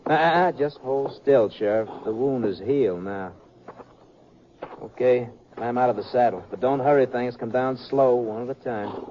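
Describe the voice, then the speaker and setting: An American actor playing a callous, drawling outlaw who makes folksy threats in a 1950s radio western. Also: male voice, mid-range voice, a little hiss or hum, close to an everyday voice. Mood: cool menace. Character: callous armed outlaw, drawling, coolly menacing man. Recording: dull lo-fi 1950s radio recording.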